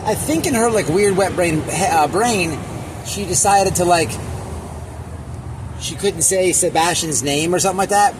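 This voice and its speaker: Male online commentator, high voice, emphatic and repetitive casual rant, noisy ambient recording. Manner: repetitive, halting, emphatic, crude slang. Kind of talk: casual opinionated reaction rant.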